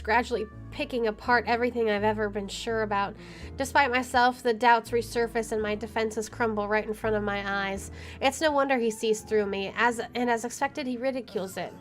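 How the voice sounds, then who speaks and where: A woman with a fairly high voice hosts a game playthrough. She reads narrative text aloud and slips into casual commentary, with some music behind her. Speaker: gaming video host.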